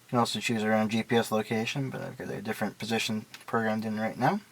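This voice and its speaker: A man's voice: fairly low pitch, fairly even intonation, fast, slight background noise. A man giving a casual, unscripted product walkthrough in a matter-of-fact, explanatory way. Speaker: product reviewer and demonstrator.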